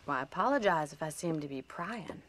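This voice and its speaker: A woman's voice: sits low, expressive, a little fast, fairly bright tone. A woman voicing a spirited young rancher in a game cutscene, forthright and teasing in her plainspoken talk. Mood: playful. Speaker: ranch owner. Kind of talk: video game cutscene dialogue.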